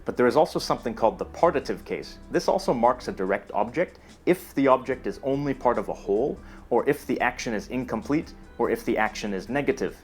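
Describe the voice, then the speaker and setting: Male narrator, scripted educational read, even and explanatory, soft music bed. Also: medium pitch, a little fast, hardly any pauses. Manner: steady, explanatory delivery. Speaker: narrator of a language explainer video. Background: faint music.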